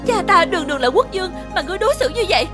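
A gentle but brave young cartoon princess. Her voice sits extremely high and sounds earnest and plaintive, with music playing clearly underneath. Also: big pitch swings, rapid-fire. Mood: indignant. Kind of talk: children's cartoon acting.

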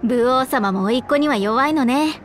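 An actress playing a confident, brisk teenage elf girl in a video game, speaking in a feminine, matter-of-fact way. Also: high voice, faint music behind, lightly acted. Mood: wry, practical. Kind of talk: video game cutscene acting.

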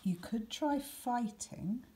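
A woman reading a children's picture book aloud, with deliberate, expressive emphasis and some hushed words. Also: on the high side, noisy background. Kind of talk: expressive scripted storybook narration for children.

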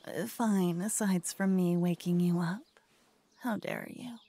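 A woman voicing a cocky, playful lover who whispers and teases softly in an ASMR roleplay. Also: on the low side, a little slow, husky. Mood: playful teasing. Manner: playful, teasing, soft whispering. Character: cocky playful young woman, a devoted lover waking her partner. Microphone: binaural mic.

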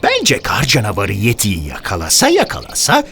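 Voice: mid-range voice, wildly swooping pitch, gravelly, heavy background noise. An actor voicing a mischievous, teasing trickster in a children's cartoon, theatrical and mocking. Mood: sly, flattering. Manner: theatrical, mocking, drawn-out emphasis.

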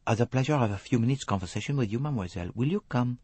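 A man voicing an elderly, courteous, shrewd foreign detective in an audiobook, speaking with slow, formal emphasis. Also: medium pitch, lively intonation, dark tone, breathy edge, no echo, voice pushed up. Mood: politely coaxing.

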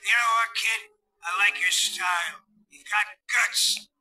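An actor playing a gruff, threatening older homeless army veteran in a video game cutscene. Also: male voice, high-pitched, steady tone, slow, pushed and bright, soft background music, heavily acted.

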